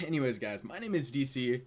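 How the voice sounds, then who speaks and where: A young man tells personal stories in a casual, crude, joking way, amused by his own jokes as a video host. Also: medium pitch. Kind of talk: casual comedic personal storytelling.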